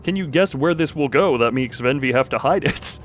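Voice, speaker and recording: A man with a lively, mobile pitch chats casually as a co-host on a stream. He jokes and feels his way through explanations, with faint music behind him. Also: moderate pace. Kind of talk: casual livestream chat.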